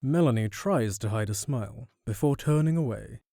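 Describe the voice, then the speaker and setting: A man's voice: mid-range voice, animated, moderate pace, warm tone, no room sound, studio quality. A man narrating a scripted fantasy story in the third person as the narrator of an audio drama.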